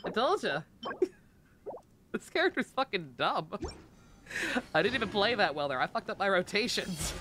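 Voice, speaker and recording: A streamer with a bright, forceful, mid-range voice chats casually over gameplay. The delivery rambles, swears freely and breaks into exclamations, with faint game music behind it. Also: medium pitch, very animated, a little slow, long pauses. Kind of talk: casual live gameplay commentary.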